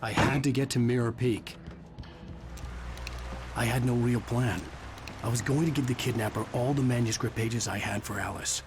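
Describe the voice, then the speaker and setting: A man's voice: medium pitch, steady tone, normal speed, long pauses, over music, lightly acted. A man playing a desperate thriller writer hunting for his kidnapped wife, brooding and weary, in a scripted video game performance. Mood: tense, grim. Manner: brooding, weary first-person narration. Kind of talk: scripted game narration and acted dialogue.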